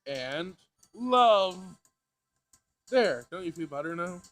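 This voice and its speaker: A man's voice: high-pitched, big pitch swings, slow, fairly bright tone, heavily acted. A man voicing a magical girl who preaches peace and love in a cutesy, theatrical, bossy way. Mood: sweetly cheerful. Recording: music behind the voice.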